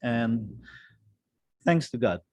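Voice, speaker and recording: A man in his fifties from the Philippines tells his story of faith in an interview, at an even middle pitch. He chuckles often between his sentences and pauses on small fillers. The sound is dry, with no room echo. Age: 50s. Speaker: guest, teacher and character educator. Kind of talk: recorded online interview, candid personal testimony.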